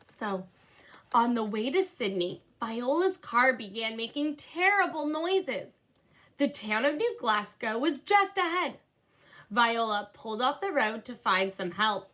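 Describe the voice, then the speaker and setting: Young female storybook reader, bright tone, unhurried and expressive. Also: fairly high pitch. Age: young adult. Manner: animated, clearly paced storytelling. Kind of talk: expressive children's read-aloud narration with asides. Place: indoor room at home by a window.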